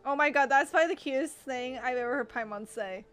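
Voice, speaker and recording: Young woman streamer gushing over a game, high voice, excitable. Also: fast talker, over music. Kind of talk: live game reaction commentary.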